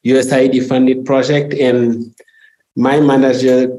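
A man from Senegal, a researcher guest, telling stories in a chatty, halting way. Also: medium pitch, flat delivery, mellow, poor audio. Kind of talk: interview guest.

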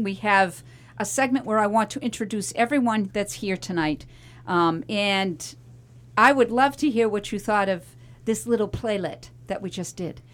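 A middle-aged American woman hosting a talk radio show in a chatty, animated way with emphatic asides and rhetorical questions. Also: medium pitch. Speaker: radio host.

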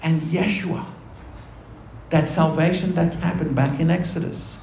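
High-voiced male preacher in an echoey room. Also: steady tone, vocal fry, a little mellow, slight background noise. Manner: preaching with rhetorical questions to the congregation; swings between quick asides and slow, low emphatic phrases. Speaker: pastor. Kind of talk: sermon.

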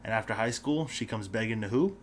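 Fast-talking young man telling stories. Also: on the low side, animated, a little hiss or hum. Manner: rambling, storytelling, lots of fillers. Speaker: podcast co-host. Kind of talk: casual chat between friends.

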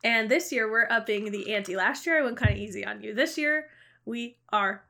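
Giggly, animated woman co-hosting a podcast. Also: on the high side, normal speed, gravelly. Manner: animated, joking, laughs often. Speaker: podcast co-host. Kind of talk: casual chat.

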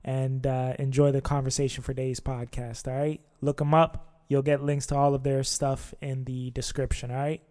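Male podcast host, mellow and flat-toned, loose unscripted intro, reverby room. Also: mid-range voice, moderate pace, few pauses. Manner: relaxed, rambling with frequent filler words. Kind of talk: casual spoken show intro.

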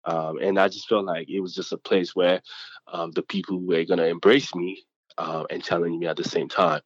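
A young man from Nigeria, speaking English as a second language, chats through his story in a low, lively voice full of fillers. The sound is dry and a little dull, as if coming through a remote link. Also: moderate pace, no echo. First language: not English. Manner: animated, chatty, many fillers, acts out quotes. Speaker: student athlete guest. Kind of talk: casual interview storytelling.

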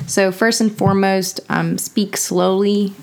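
A woman's voice: mid-range voice, fairly even intonation, unhurried, gravelly. A young woman from Texas with a Texan accent, co-hosting a casual podcast in a chatty, joking way. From Houston, Texas. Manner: chatty, joking, laughs often. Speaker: podcast co-host, medical applicant.

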